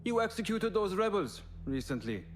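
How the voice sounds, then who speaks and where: An actor playing a boastful, cruel villain general in a video game, teasing and self-satisfied. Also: male voice, high voice, sing-song, moderate pace, a little hiss or hum. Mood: smooth persuasion. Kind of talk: video game cutscene acting.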